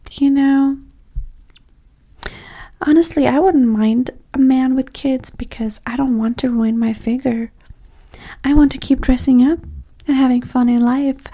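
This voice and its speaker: A woman doing a soft-spoken, often whispered ASMR roleplay as a vain, flirty date with a breathy, sassy, condescending manner. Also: fairly high pitch.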